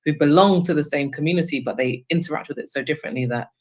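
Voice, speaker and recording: A woman talks at a very quick pace in a book club discussion. She is articulate and emphatic, building her argument point by point and often tagging it with a short question. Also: deep voice, a little mellow. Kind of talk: discussion, literary commentary.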